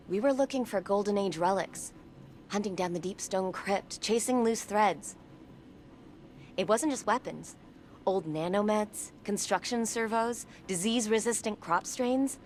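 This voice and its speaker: A woman's voice: medium pitch, darkish tone, slight background noise, close to an everyday voice. A woman playing an earnest, determined soldier and tech expert in a video game, speaking persuasively.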